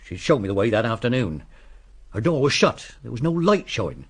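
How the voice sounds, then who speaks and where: A grudging little man under suspicion. He speaks bluntly at a middling pitch, guarded and defensive, in the manner of a radio crime play. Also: sing-song, fairly quick, slightly rough. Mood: sombre, haunted. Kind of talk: British radio detective drama.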